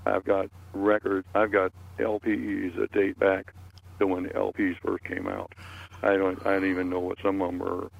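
Low, creaky-voiced older man, rambling. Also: completely flat delivery, normal speed, phone-quality sound. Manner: rambling, repeats words and phrases. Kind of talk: casual group chat, storytelling.

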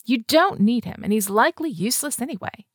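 A woman's voice: fairly high pitch, lively intonation, brisk, slightly rough, no echo, studio quality, pitched above the performer's own voice. A woman voicing a brash, foul-mouthed London woman in her thirties, breezy and blunt, for an audiobook. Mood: blunt, auntie-like.

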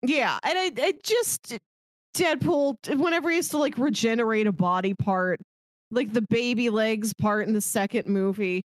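A woman co-hosting a podcast, chatting casually about movies in an animated storytelling way. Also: a little high, normal speed, no echo. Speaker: podcast co-host.

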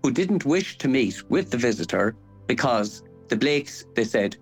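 Older Irish male local historian, mid-to-low everyday pitch, scholarly and detailed, faint music present. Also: sing-song, a little slow, breathy edge. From Ireland. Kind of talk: explanatory local history talk.

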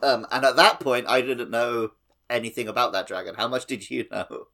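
A man's voice: mid-range voice, steady tone, normal speed, vocal fry, pushed and bright. A man co-hosting a podcast, chatting casually about a video game. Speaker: podcast co-host.

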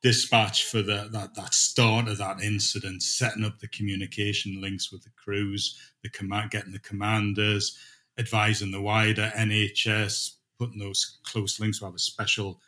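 A man's voice: lowish voice, little pitch movement, moderate pace, bright, forceful tone. A middle-aged man speaking as a manager in an informal interview, thinking aloud and repeating words as he goes. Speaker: dispatch manager being interviewed.

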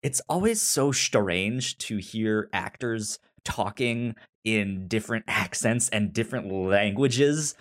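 A man with an American accent and a fairly low voice talks casually as a podcast host. He stutters, repeating syllables at the starts of words, so his informal delivery comes in halting bursts. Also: animated. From United States. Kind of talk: casual discussion of TV shows.

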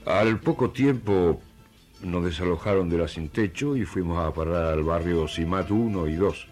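A man's voice: sits low, moderate pace, a little mellow, soft background music. A man from Argentina, giving first-person testimony as a community member in a storytelling style.

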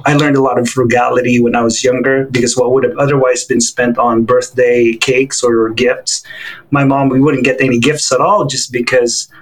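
Interview guest, man in his forties from the Philippines, mid-pitched, easygoing storyteller with fillers. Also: moderate pace, low-quality recording. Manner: relaxed storytelling with fillers and restarts.

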